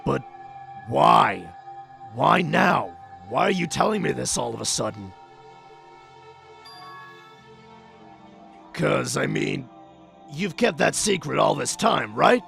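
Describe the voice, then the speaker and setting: A man playing a tough, hot-tempered, guilt-ridden young man in an anime fandub, intense and halting, moving between hushed confession and yelling. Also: mid-range voice, very animated, gravelly, heavily acted. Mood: rattled, defensive. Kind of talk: dramatic anime-style fandub acting. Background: faint music behind the voice.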